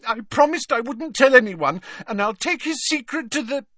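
A man's voice: very high voice, animated, normal speed, fairly bright tone, dry recording, clean studio sound, voice pushed up, full character voice. A man voicing the glum, self-pitying ghost of a teenage girl in an audiobook, sulky and plaintive. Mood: defiant, indignant.

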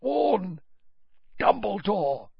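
A man's voice: a little high, expressive, moderate pace, no echo, pitched above the performer's own voice. A man putting on a raving, gasping old official. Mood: whispering. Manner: rambling, broken gasping phrases. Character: raving, confused older male official, desperate. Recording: dull, slightly lo-fi recording.

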